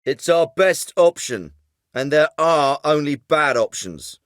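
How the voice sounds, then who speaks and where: An actor playing a composed, clipped military officer in a video game, dry and commanding. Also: male voice, on the high side, expressive, slow pace, dry recording. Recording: dry studio recording.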